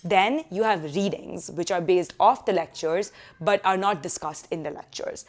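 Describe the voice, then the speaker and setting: A young woman talks straight to the camera from her sofa, sharing study-abroad tips in a chatty, animated way. Her voice sits a little low for a woman. The sound is picked up by a camera a short way off indoors. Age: young adult. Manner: chatty, animated hand gestures, quick asides. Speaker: student vlogger. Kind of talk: casual advice vlog. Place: living room sofa, indoors.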